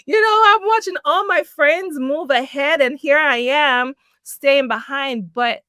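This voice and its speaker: A middle-aged woman from Jamaica, a podcast guest telling her life story in an animated way, laughing often. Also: high-pitched, measured pace, dry recording, studio quality. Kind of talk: personal storytelling in an interview.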